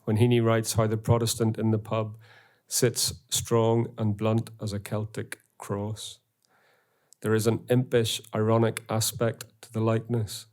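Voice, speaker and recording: A middle-aged man with a Northern Irish accent giving an academic lecture in a university auditorium, reading poems aloud in a measured way. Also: on the low side, completely flat delivery, a little mellow. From Northern Ireland. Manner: measured, reads quotations slowly.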